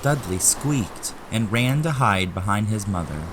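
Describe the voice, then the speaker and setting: A man's voice: fairly low pitch, expressive, a little slow, noisy background. A man reading scripted narration for an audio drama, as the storyteller.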